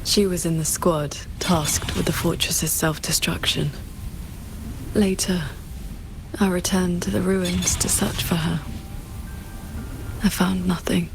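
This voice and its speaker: Low, restrained, solemn warrior woman. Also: level tone, gravelly, over music, rough recording. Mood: sorrowful, restrained. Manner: measured, restrained, grave. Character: solemn, composed warrior woman with long memories. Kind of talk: dramatic game voice acting.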